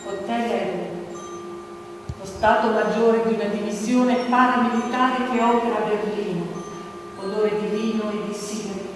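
An actress playing a grave storyteller, delivering dramatic stage narration with deliberate, suspenseful pauses. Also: female voice, mid-range voice, steady tone, natural voice. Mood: grave, descriptive. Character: grave storyteller recounting a political killing. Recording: distant, very echoey hall, music behind. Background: music.

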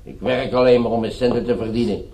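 An actor playing a nervous, whining underling with a family to feed, defensive and pleading, in a radio drama. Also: male voice, medium pitch, quick pace, lightly acted. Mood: grumbling, nervous. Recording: reverberant radio play, faint noise.

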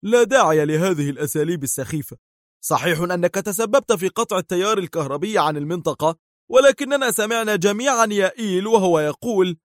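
Man performing angry intelligence agent, storybook thriller villain, stern, agitated, sharp, raised pitch, close dry sound. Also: expressive, fast, no room sound.

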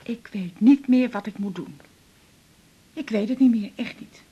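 An actress playing a worried, hesitant middle-aged mother with a husky, breathy voice in a radio drama. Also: on the high side, a little slow, lo-fi sound. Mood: worried, helpless. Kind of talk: naturalistic 1980s Dutch radio drama acting.

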